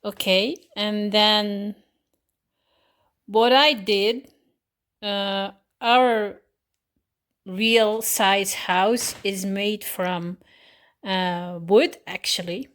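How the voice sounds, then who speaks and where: A woman with a Dutch accent casually explaining a craft project, pausing often with fillers and correcting herself as she goes. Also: medium pitch. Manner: hesitant, many pauses and fillers, self-correcting. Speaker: hobby crafter showing a miniature build. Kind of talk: casual show-and-tell explanation.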